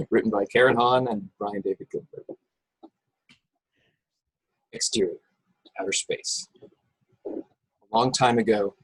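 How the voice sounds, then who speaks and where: A man's voice: on the low side, husky, no echo, poor audio. A man reading scripted action descriptions as narrator in an informal, unpolished way on an amateur online table read. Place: video call from a room.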